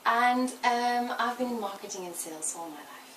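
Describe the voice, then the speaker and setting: A woman in her forties from Belgium, talking in a relaxed interview and thinking aloud with fillers and restarts. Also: fairly high pitch, moderate pace. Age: 40s. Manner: thoughtful, with fillers and self-corrections. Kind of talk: casual interview. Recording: roomy, distant mic with faint noise.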